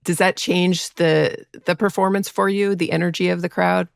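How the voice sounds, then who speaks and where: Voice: medium pitch, flat delivery, normal speed, vocal fry, clear, resonant tone, no echo, clean studio sound. A podcast host asking curious interview questions and giving short, affirming replies.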